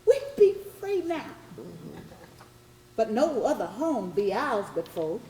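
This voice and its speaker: A high, sweeping voice of a formerly enslaved Southern woman, speaking slow poetic plantation dialect. She is aching and defiant in love, delivering a stage monologue in a roomy theatre with an audience around her. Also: sing-song, warm tone. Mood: impassioned. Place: stage before a live audience.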